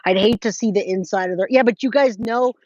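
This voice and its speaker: A woman's voice: mid-range voice, a little fast, darkish tone, dry recording. A woman in her forties with an American accent and a mid-range voice talks off the cuff to livestream viewers. She is informal and emphatic, circling back to repeat her points. The sound is close and dry but a little dull. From California, United States. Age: 40s. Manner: informal, addresses viewers as guys, babe, my love. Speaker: true crime livestream host. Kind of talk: casual livestream chat, replying to viewers' comments.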